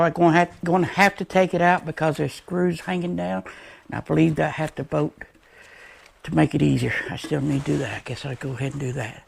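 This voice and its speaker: Folksy American man, gravelly and higher-pitched. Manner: folksy, rambling, muttering to himself. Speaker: DIY motorcycle vlogger. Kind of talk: casual unscripted how-to vlog chat. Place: shed workshop. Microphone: action camera. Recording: noisy action-camera audio with clicks.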